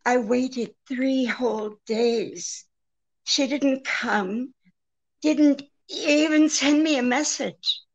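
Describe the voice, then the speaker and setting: An actress playing an anxious, genteel woman pleading for help in a 1940s-style radio drama, speaking haltingly. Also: high voice, muffled recording, heavily acted. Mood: worried. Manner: hesitant, halting, faltering.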